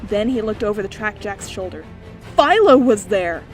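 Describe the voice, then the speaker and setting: A woman narrating a dieselpunk noir audiobook with steady, clear storytelling. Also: mid-range voice, normal speed, faint music behind. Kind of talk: scripted narration.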